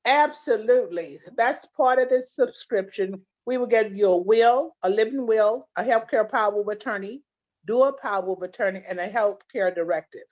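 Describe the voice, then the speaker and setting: A woman's voice: medium pitch, normal speed, a little mellow. A woman, a guest in an interview, telling earnest stories with many restarts. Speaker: guest, legal plan representative. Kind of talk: interview conversation. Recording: dull lo-fi sound.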